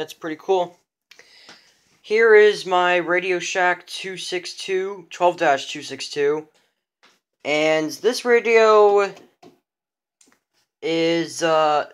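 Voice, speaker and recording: A man's voice: a little high, fairly bright tone, clean tone. A man giving a casual, unscripted show-and-tell of a hobby collection, rambling and repeating himself.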